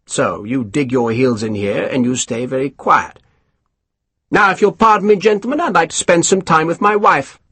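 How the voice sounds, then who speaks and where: A man's voice: fairly high pitch, moderate pace, a bit bright, husky, no echo, pitched above the performer's own voice. A man voicing a pompous, scheming British colonel who talks down to others in a haughty, snappish way, reading for an audiobook. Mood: condescending. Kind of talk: audiobook character voice.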